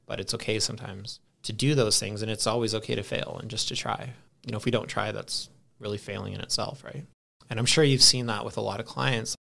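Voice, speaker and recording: A man's voice: lowish voice, fairly even intonation, fairly quick, creaky, sharp tone. A man in his thirties, a podcast guest, chatting casually in an easygoing, rambling way and laughing at himself. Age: 30s. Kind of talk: casual chat.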